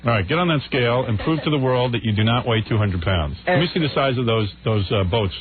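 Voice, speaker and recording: A man hosting a talk radio interview in a brash, teasing way with rapid, pressing questions. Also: mid-range voice, lively intonation, a crowd in the background. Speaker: radio show host. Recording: tinny, band-limited radio broadcast with studio laughter.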